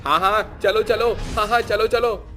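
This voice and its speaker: A man voicing a frightened, excitable villager in a cartoon story, nervous and alarmed. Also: high-pitched, measured pace, soft background music, pitched above the performer's own voice. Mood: panicked. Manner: panicky, nervous.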